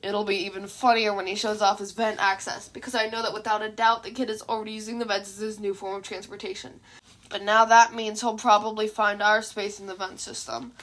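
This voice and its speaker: Girl doing a lazy teacher guy, lowered voice, deadpan drawl, audiobook character. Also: fairly quick, natural voice. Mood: dry amusement. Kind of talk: fan fiction audiobook character voice.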